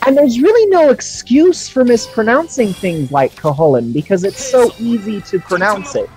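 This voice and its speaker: A man's voice: high-pitched, big pitch swings, normal speed, a little mellow, rough recording. A young man in casual chat, explaining things earnestly and choosing his words with care. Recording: faint music behind the voice. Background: music.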